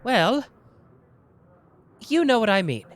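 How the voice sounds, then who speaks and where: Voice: mid-range voice, big pitch swings, slow pace, noisy background. A performer voicing a smug, boastful young male detective in an ASMR roleplay, teasing and playful.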